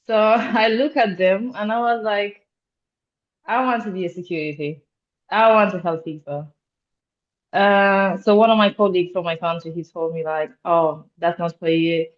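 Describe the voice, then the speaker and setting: A woman in her twenties from Romania, not a native English speaker, talking earnestly as a podcast guest with many hesitations. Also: mid-range voice. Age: 20s. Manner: earnest, hesitant with many ums, laughs often. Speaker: guest, security officer. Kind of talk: casual interview chat. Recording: dull lo-fi sound.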